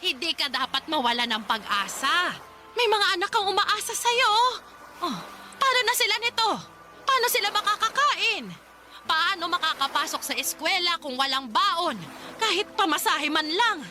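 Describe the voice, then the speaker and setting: A woman's voice: very high voice, animated, moderate pace, over music. A woman playing a stern, reproachful grandmother in a radio drama, firm and scolding. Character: stern, scolding grandmother holding a poor family together. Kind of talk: Filipino radio drama acting.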